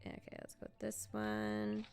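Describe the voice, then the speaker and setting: A woman's voice: medium pitch, completely flat delivery, normal speed, gravelly, darkish tone, smooth, faint music behind. A woman streamer chatting casually and thinking aloud while she plays a game live.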